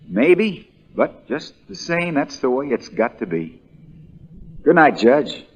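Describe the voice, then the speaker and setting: An actor playing a shrewd, folksy American country lawyer, relentless in questioning, in the style of 1940s radio drama. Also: male voice, mid-range voice, very animated, slow, muffled recording. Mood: dry, folksy. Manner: drawling folksy understatement that builds into hammering accusation.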